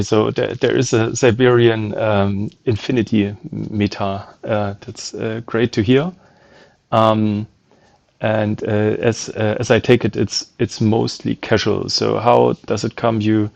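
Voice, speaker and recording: A man hosting a podcast, speaking in a halting way with many fillers. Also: lowish voice, gravelly, a little mellow, no room sound. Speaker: podcast host.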